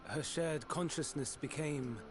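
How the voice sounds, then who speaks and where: A man's voice: on the high side, measured pace, music in the background, natural voice. An actor playing an earnest male director in a game cutscene, formal and deferential. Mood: apologetic.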